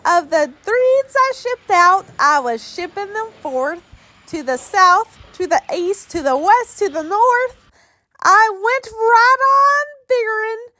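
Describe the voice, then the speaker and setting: A woman's voice: extremely high pitch, bright, forceful tone, no room sound, voice pushed up, heavily acted. A woman voicing a greedy, defiant male factory tycoon in a children's storybook, boastful and emphatic. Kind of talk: children's storybook read-aloud.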